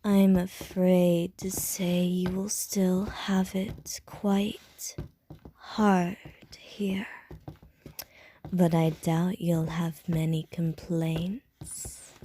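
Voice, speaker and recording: A woman voicing a seductive, teasing ancient female vampire in an ASMR audio roleplay, speaking in a soft, breathy whisper. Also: lowish voice, little pitch movement, very slow, long pauses, slight background noise.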